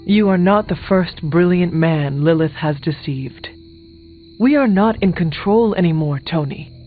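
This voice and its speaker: An actor playing a solemn young warrior hero in a video game, speaking in a measured, formal and earnest way. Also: male voice, a little high, close to an everyday voice. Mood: grave warning. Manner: measured, formal, avoids contractions. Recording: thin phone-like game audio, music behind.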